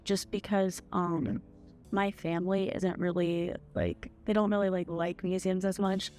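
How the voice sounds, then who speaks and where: A twenty-year-old woman in an interview, pausing and restarting with "like" fillers. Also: on the low side, flat delivery, darkish tone. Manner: halting, restarts with "like". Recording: music bed underneath.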